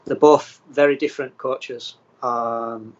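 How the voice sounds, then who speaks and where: A man from England, a professional footballer, answering interview questions in a plain, steady way with frequent ums. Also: mid-range voice, slow pace, highs cut off. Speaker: footballer guest.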